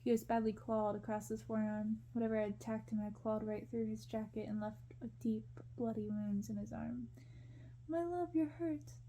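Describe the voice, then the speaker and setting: A woman reading a story aloud in a gentle, measured ASMR style. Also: mid-range voice, monotone, a little hiss or hum, rough recording.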